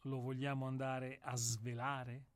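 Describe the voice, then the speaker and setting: A man co-hosting a radio programme, casual and playful, with a deliberate, mock-literary way of talking. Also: mid-range voice, flat delivery, dry recording. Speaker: radio show co-host.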